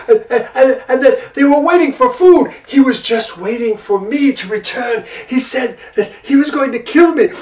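Panicky stammering tiger, voice pitched up, done by a woman storyteller, distant mic. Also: roomy sound.

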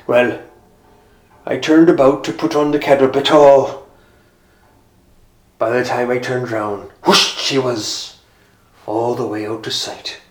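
A storyteller voicing a talkative village publican in a lower voice. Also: steady tone, slow, pauses often, lightly acted. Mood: gossipy. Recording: roomy echo.

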